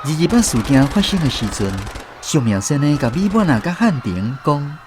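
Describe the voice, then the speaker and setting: A man's voice: on the high side, big pitch swings, moderate pace, background noise. A man with a Taiwanese accent narrating a radio drama as a scripted storyteller.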